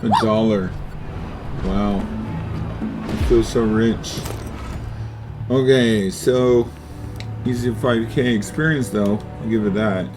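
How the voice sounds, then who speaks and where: Male gameplay commentator, casual and dry, sarcastic, unhurried, music audible behind. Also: on the low side. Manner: deadpan, sarcastic. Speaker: gamer commenting on play.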